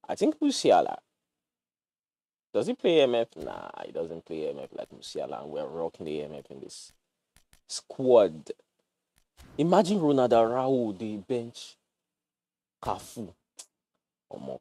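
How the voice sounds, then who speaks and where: Lively Nigerian man streaming a game. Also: low-pitched, a little slow, vocal fry, long pauses, dry recording. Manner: animated, jokey, mixes pidgin slang. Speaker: gaming streamer. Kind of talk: casual livestream commentary.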